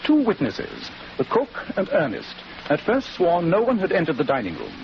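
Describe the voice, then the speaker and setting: A brisk English detective from an old radio mystery. His voice is fairly high and lively in pitch, precise and commanding, through muffled sound. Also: gravelly, full character voice. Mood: accusing. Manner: precise, authoritative, theatrical. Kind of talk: 1940s radio acting. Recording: muffled, noisy 1940s radio recording.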